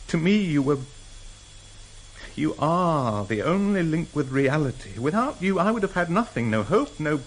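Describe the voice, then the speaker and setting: A hunted husband with a guilty double life, in a radio drama. He talks at a medium pitch in clipped, halting, defensive phrases. The vintage recording has faint noise behind him. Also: poor audio. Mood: desperate, pleading.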